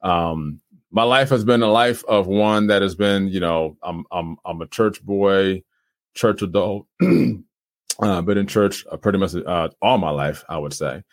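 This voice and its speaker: A man, a guest on a podcast, talking casually about himself with many pauses. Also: sits low, dry recording. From Trenton, New Jersey. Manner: relaxed, many fillers and uhs. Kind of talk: casual interview talk.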